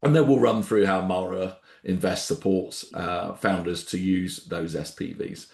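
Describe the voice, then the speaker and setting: A man with a head cold and a nasal voice, hosting a webinar on a video call in a relaxed, rambling way. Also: low-pitched, unhurried, creaky, reverberant. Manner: relaxed, rambling, frequent ums and restarts. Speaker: webinar host, startup founder. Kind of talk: casual webinar presentation.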